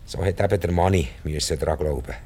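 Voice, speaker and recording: An older actor with a Swiss accent playing an ailing, ruthless rich emigrant in a radio drama, halting, blunt and short of breath. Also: male voice, very deep voice, warm tone, breathy edge. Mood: bitter, cold. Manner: halting, blunt, world-weary monologue. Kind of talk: radio drama acting in Swiss-coloured German.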